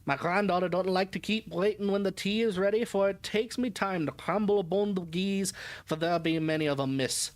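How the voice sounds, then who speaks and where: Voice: mid-range voice, normal speed, voice pushed up, close to an everyday voice. A reader doing a brusque old sailor. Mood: grumbling, departing. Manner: brusque, dismissive, rustic dialect. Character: very old gruff skeptical English sailor, plain-spoken.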